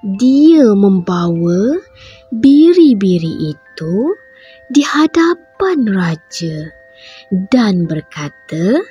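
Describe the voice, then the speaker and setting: A woman reading a bedtime story for children, in a slow, gentle, sing-song way with a breathy voice. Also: mid-range voice, sing-song, lo-fi sound, faint music behind.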